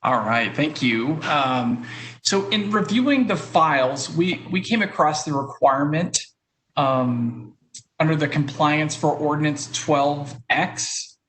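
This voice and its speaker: Higher-pitched man on noisy line. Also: slow, a bit bright. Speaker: prospective bidder asking a question. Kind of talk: formal question on a conference call. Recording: noisy conference call line.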